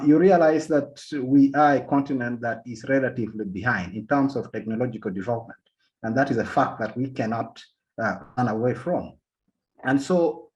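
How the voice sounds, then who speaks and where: A man from Uganda speaks on a panel at a middling pitch, delivering an academic policy talk. He halts often and repeats words as he restarts his sentences. The recording is dull and lo-fi. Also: darkish tone. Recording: dull lo-fi sound.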